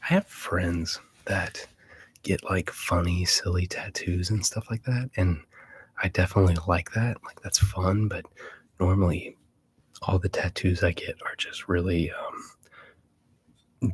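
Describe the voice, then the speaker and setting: A man with a low, very husky voice hosts an ASMR livestream. He drifts between breathy whispering and hushed talk, rambling casually and hesitating as he goes. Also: no room sound. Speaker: livestream host.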